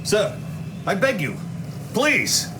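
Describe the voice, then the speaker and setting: An actor playing a scheming, commanding Soviet officer in a video game, speaking theatrically with a Russian accent. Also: male voice, sits high, wildly swooping pitch, exaggerated voice. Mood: urgent pleading. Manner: theatrical, dramatic, deliberate. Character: scheming, commanding Soviet colonel turned premier. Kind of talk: cinematic video game acting. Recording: loud music behind.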